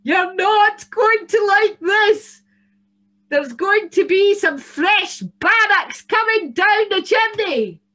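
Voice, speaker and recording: A woman's voice: very high voice, measured pace, pitched above the performer's own voice, heavily acted. A woman voicing a gleeful, scheming male bogle who cackles and gloats. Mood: taunting. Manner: cackling, gloating, breathless excitement.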